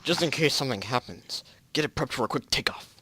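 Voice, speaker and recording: A man playing a careful, urgent mission control officer in an amateur story reading, giving halting instructions. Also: mid-range voice, expressive, bright, forceful tone, a little hiss or hum. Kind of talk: amateur group fan fiction reading.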